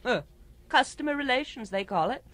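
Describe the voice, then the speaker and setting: An actress playing a snobbish, easily affronted society matron in a radio drama, with a haughty, complaining manner. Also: female voice, high voice, animated, fairly quick, fairly bright tone. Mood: indignant.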